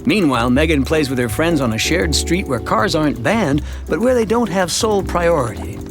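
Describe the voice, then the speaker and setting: Expressive, higher-voiced suburban family man. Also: moderate pace, vocal fry, over music. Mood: upbeat, proud. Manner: conversational, expressive storytelling. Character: chatty, earnest suburban family man telling his town's story. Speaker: narrator. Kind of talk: scripted first-person narration for an animated educational short.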